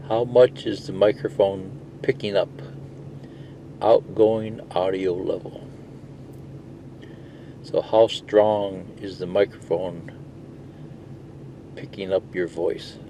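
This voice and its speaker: A man's voice: fairly low pitch, gravelly, dark tone, a little hiss or hum. A man giving a casual, plain-spoken how-to explanation in a conversational way. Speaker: product demonstrator.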